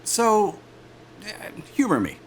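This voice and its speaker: An actor playing an affable, chatty male corporate interviewer in an audio drama, jovial and smooth, with hesitant asides. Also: sits high, wildly swooping pitch, takes it slowly, slight background noise, exaggerated voice. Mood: hesitant, confiding. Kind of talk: naturalistic audio drama acting.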